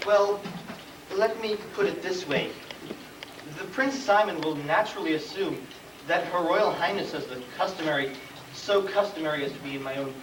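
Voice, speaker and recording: A man's voice: a little high, close to an everyday voice. Man playing a tactful royal chancellor, distant echoey sound. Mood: delicate, halting. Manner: formal, halting, obsequious. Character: deferential, long-winded royal chancellor, tactful and flustered. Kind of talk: stage comedy acting. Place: school stage, live play performance. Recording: distant, noisy, echoey room recording of play.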